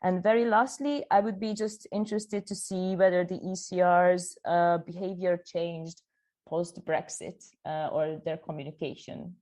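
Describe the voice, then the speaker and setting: A woman's voice: fairly low pitch, steady tone, measured pace, darkish tone. A young woman with a Hungarian accent putting questions at an academic seminar in a polite, somewhat hesitant way. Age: young adult. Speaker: audience questioner, PhD student.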